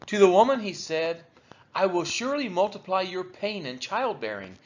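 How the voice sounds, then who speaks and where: Expressive higher-voiced man reading scripture. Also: normal speed, lo-fi sound. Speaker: Bible reader for a church's daily read-along. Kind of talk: scripture read-aloud.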